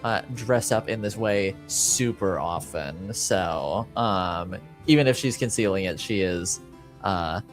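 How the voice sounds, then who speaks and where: A man improvising narration as a game master, hesitant and pausing, with a deadpan manner. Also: sits low, faint music behind.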